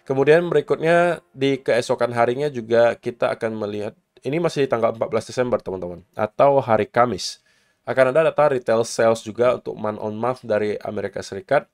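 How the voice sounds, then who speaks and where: A man with a hoarse, coughing voice, casually walking viewers through upcoming market data and events, mixing in English market terms. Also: mid-range voice, brisk, darkish tone. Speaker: crypto market commentator.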